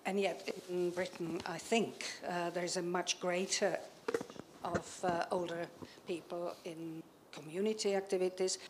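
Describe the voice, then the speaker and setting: A woman asks a question from the floor, pausing often to find her words and keeping a formal tone. Her voice sits fairly low, with a faint hum of noise behind it. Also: flat delivery. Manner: halting, formal, searching for words. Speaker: audience member asking a question.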